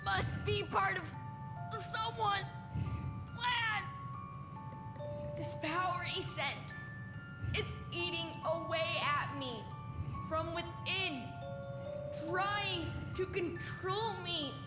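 Child monarch for fantasy game, woman performer: frail, solemn, halting, very high voice in echoing reverb. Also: heavily acted. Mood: strained, pained. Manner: halting, broken phrasing. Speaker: video game character. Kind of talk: dramatic game cutscene acting. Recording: echoey long reverb, music underneath.

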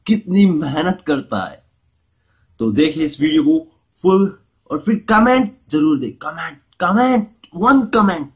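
Playful young man vlogging to camera. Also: a little high. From India. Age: young adult. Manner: playful, jumps between Hindi and broken English. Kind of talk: casual vlog to camera. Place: small home room and village outdoors. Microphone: handheld camera at arm's length.

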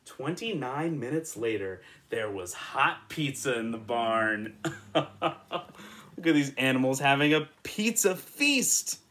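Man, twenties, American accent, slow-paced, swooping expressive pitch, playful children's read-aloud. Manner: playful, expressive, asking the listener questions. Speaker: children's story reader. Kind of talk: animated picture-book read-aloud for young children. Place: indoors, small room in front of a patterned cloth.

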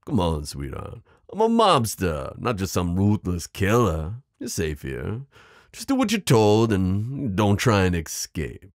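Guy doing a low voiced mob boss for asmr rp, possessive n teasing, dry close sound, voice moves around a lot. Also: wildly swooping pitch, no echo, full character voice. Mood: controlled, teasing. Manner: slow, teasing drawl addressing the listener with pet names. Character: smooth, possessive male mafia boss, menacing yet protective. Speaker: audio roleplay performer. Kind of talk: ASMR roleplay drama acting.

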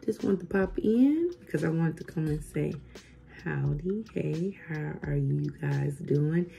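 Woman in her thirties, low gravelly voice, chatty home vlog, camera at arm's length. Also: animated, a little slow. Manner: chatty, says "y'all" often, warm exclamations over gifts. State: recovering from illness. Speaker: vlogger. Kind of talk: casual vlog chat. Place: living room at home, indoors.

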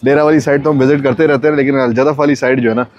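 Young male travel vlogger, relaxed chatty delivery, phone-recorded outdoors on a boat. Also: medium pitch, rapid-fire, highs cut off, a little hiss or hum. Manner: chatty, mixes English phrases, repeats "जो है ना". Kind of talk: casual walkthrough vlog. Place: outdoors on a cruise boat deck.

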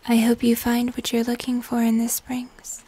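A young woman playing a gentle, melancholic moth-human creature of the woods, speaking in a slow, tender, breathy whisper for fantasy ASMR. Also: a little high, completely flat delivery. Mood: tender, admiring. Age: young adult. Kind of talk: cinematic fantasy ASMR roleplay.

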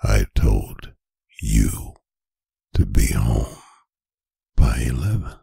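Man acting a very deep, gravelly whispering domineering boyfriend. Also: lively intonation, dark tone, dry recording, clean studio sound, heavily acted. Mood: accusing, interrogating. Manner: slow, deliberate, menacing, with long pauses. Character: stern, angry, domineering boyfriend scolding his adult partner. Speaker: ASMR voice performer. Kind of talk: whispered roleplay ASMR. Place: bedroom, close and dry.

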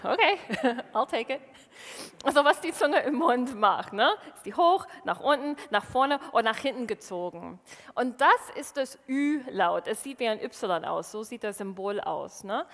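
A woman in her thirties from Wisconsin, a native English speaker with an American accent, giving a lively, self-mocking stage talk in a large hall. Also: a little high, a little slow. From Wisconsin, USA. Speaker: science slam speaker. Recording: reverberant hall.

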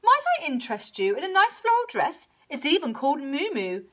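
A woman's voice: high voice, big pitch swings, brisk. A woman voicing a fawning, over-polite department store sales assistant in a children's story. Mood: gushing, polite.

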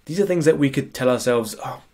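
Husky, sniffly man coaching. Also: mid-range voice, quick pace, mellow. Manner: conversational, frequent tag questions. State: sniffly with a cold. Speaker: productivity coach. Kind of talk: screen-share lecture.

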